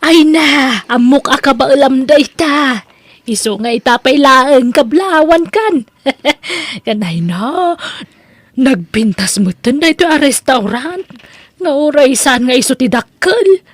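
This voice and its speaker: A woman's voice: high-pitched, expressive, slow pace, no echo. A woman with an Ilocano Filipino accent playing a proud, admiring mother figure in an audio drama, chatty and full of exclamations. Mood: delighted.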